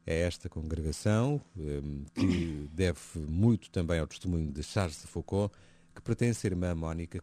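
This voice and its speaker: A man hosting a religious radio program, introducing his guest in a measured, formal presenter's delivery from a studio. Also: deep voice, mellow.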